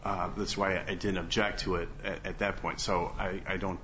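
A man's voice: low-pitched, level tone, fairly bright tone. Male lawyer hedging thru oral argument, keeps restarting phrases, dull courtroom audio w faint hiss. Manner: halting, hedging, with repeated phrases. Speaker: lawyer arguing an appeal. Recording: muffled courtroom recording, faint background noise.

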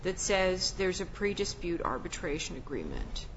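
A woman's voice: lowish voice, huge pitch swings, moderate pace. A woman speaking as an appellate judge, questioning a lawyer in an assertive, probing, argumentative way. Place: courtroom. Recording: muffled courtroom recording with clear background noise.

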